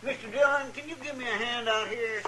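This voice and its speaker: An actor playing a folksy, earnest deputy sidekick with a rural American drawl in a radio western. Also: male voice, very high voice, a little fast, crowd noise. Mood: fretful. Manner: folksy, homespun. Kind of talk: old-time radio western acting. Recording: very noisy vintage radio recording.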